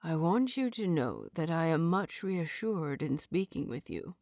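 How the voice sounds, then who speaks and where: A woman doing a soft-spoken frail old teacher. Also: deep voice, sing-song, unhurried, dry recording, clean studio sound, full character voice. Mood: gentle. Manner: soft and quiet.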